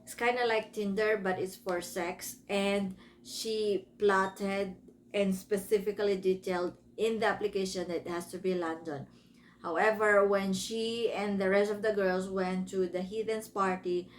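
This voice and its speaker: A woman's voice: medium pitch, a little hiss or hum. A woman from the Philippines with a Filipino accent, speaking English as a second language, gives a casual book review, rambling and correcting herself as she retells a story. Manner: rambling, self-correcting, breaking off into asides.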